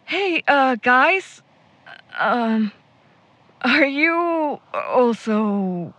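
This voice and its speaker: Nervous polite young traveler, slow hesitant talk, noisy background. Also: female voice, high-pitched, expressive, a bit bright, dull audio, full character voice. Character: uneasy, polite young woman traveling with friends. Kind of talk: naturalistic audio drama acting. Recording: clear vehicle and ambient noise behind.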